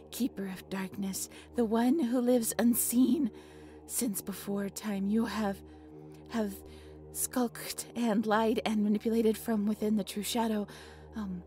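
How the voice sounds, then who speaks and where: A woman playing an obsessive, devoted female cult leader in a roleplay audio drama, with a breathy, reverent, theatrical delivery. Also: fairly high pitch, mellow, natural voice. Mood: urgent, commanding. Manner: breathy, reverent, theatrical incantation with nervous stammers.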